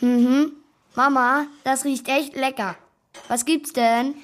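A woman's voice: high-pitched, steady tone, slow. A woman playing an eager, cheeky young boy in a children's radio play. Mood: cheeky, confident.

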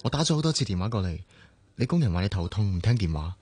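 Radio drama role: a caring, earnest company boss and friend, fairly deep, Hong Kong accent. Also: male voice, big pitch swings, fast talker, a little mellow, slightly rough, no echo. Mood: worried, probing. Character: caring, earnest businessman, a close male friend.

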